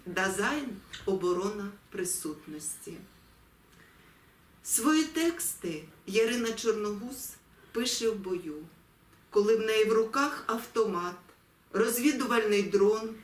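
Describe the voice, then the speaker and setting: A woman from Ukraine reading poetry in a solemn, deliberate, expressive way with dramatic pauses. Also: mid-range voice, slight background noise. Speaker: poetry reader. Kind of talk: solemn poetry recitation with spoken introduction. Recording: echoey room, distant mic, music behind.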